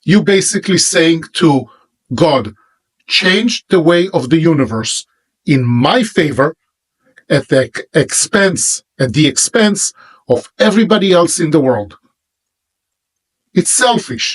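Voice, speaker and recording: A man's voice: on the high side, husky. Emphatic Israeli man preaching. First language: Hebrew. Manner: emphatic, preachy, with pauses between phrases. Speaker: religious teacher. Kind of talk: monologue sermon.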